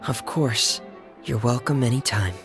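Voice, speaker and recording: Man acting as an earnest young man, creaky voice. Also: mid-range voice, music in the background, close to an everyday voice. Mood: devoted, grateful. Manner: measured, sincere, reflective. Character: earnest, loyal young man of an orphan brotherhood. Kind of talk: video game dialogue acting.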